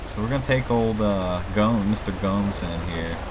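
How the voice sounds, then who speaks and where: Male gaming host, lowish voice, informal think-aloud delivery to viewers, over noticeable game ambience of rain and vehicles. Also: moderate pace. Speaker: gaming video host and mod maker. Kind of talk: casual let's play commentary. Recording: clear game rain and traffic noise. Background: video game rain, vehicles and city ambience.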